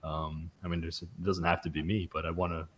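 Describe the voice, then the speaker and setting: Very deep-voiced male host, eager. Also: little pitch movement, fairly quick, slight background noise. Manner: eager, stammering. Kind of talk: casual interview chat.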